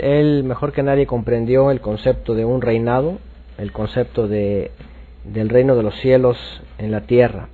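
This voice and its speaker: A man teaching scripture informally, explaining haltingly and often repeating himself. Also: mid-range voice, phone-quality sound. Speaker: religious teacher.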